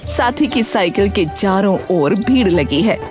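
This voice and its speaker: A woman's voice: medium pitch, expressive, a little slow, over music. A woman delivering scripted narration for a cartoon story.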